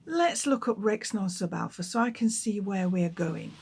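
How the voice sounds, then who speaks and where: A woman's voice: medium pitch, animated, normal speed, darkish tone, husky. Woman reading as an eager young boy. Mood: curious. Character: curious young boy space traveller.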